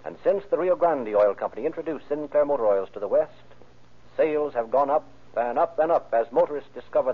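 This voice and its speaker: A man's voice: fairly high pitch, husky. An American man announcing and narrating from a script in 1930s old-time radio style. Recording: muffled, band-limited old radio recording.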